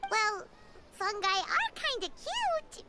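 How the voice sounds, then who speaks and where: Tiny, very high-pitched childlike companion girl. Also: sing-song, slow, very noisy, full character voice. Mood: curious. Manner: cutesy, exclamatory, childlike. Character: small, chirpy, childlike floating companion girl, curious and helpful. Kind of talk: video game voice acting.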